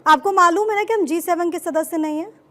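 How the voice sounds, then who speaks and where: A woman's voice: extremely high pitch, a little fast, over a noisy crowd. A woman anchoring a live TV news debate, putting pressing, pointed questions to guests.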